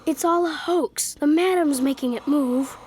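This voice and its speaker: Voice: high voice, unhurried, a little mellow, a little hiss or hum. A young performer playing a skeptical, blunt, sarcastic boy in a radio drama. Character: skeptical, wisecracking boy named Jimmy. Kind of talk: old-time radio drama acting.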